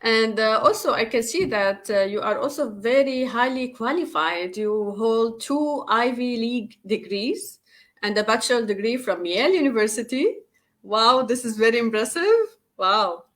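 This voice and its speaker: A woman whose first language is Arabic, hosting an interview with enthusiasm and many halting fillers. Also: on the high side, expressive, fairly bright tone. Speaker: interview host.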